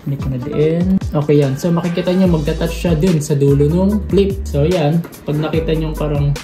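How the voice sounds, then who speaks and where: A man's voice: a little high, normal speed, echoey room, music in the background. A man with a Filipino accent giving a casual step-by-step product tutorial as a vlogger, mixing in English terms. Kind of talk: casual unboxing and installation tutorial.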